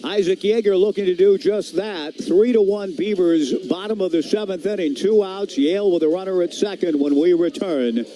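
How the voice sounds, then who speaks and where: A man's voice: high-pitched, normal speed, few pauses, dark tone. An American man calling a live baseball game as a radio play-by-play announcer, with ballpark ambience around him. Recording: live broadcast with clear background noise.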